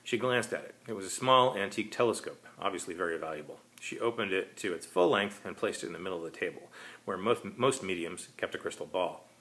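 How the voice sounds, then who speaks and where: A man's voice: low voice, expressive, fast, sharp tone, rough recording. A man reading his own short story aloud as scripted narration.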